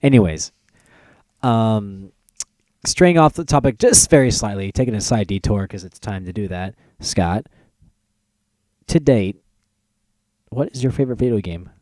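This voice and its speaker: A man's voice: fairly low pitch, animated, measured pace, long pauses, mellow, no room sound. A man hosting a casual podcast chat, with joking, announcer-like asides. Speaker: podcast host.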